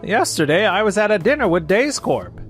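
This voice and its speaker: A man acts out an arrogant manager from a manga dub. His voice is high and very animated, with smug, dismissive bluster. Music plays behind him. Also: normal speed. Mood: blustering, defensive. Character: arrogant, careless male contractor manager, self-serving and dismissive. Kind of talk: scripted manga dub voice acting.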